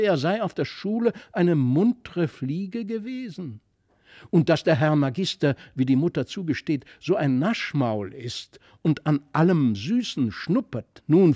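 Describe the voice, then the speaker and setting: An eager young boy from an old storybook, earnest and insistent, put on by a man. He pushes his questions with a fairly high voice. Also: mellow. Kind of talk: audiobook character voice.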